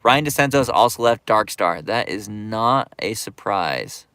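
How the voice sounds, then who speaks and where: A man's voice: fairly low pitch, animated, a little slow, bright, forceful tone, smooth, dry recording, studio quality. A man hosting his skateboarding channel's livestream, reading viewer comments aloud and chatting back in a laid-back, filler-heavy way. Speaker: YouTube skate channel host reading live chat. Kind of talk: casual livestream chat.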